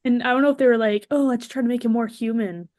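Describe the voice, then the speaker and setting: A woman speaking as a podcast guest, chatting casually in an informal, expressive way. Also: on the high side, fast, no room sound.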